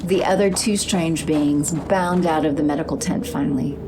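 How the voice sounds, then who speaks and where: Woman narrator for audio drama, kinda low voice, noisy ambience behind. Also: moderate pace. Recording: clear background noise, sound effects.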